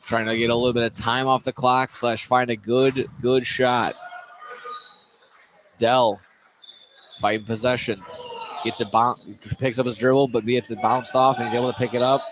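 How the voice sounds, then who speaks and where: A man's voice: medium pitch, little pitch movement, normal speed, long pauses. A man calling live basketball play-by-play as the announcer. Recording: noisy gym ambience, ball bounces. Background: basketball game in a busy gym.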